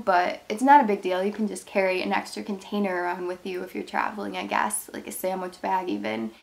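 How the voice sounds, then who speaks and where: A woman's voice: medium pitch, creaky. A young woman in her twenties from Ohio, stuffed up and sniffly from a cold, chatting casually through a craft product review in her bedroom. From Ohio, USA. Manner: chatty, rambling, upbeat. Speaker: craft channel host. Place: bedroom, indoors.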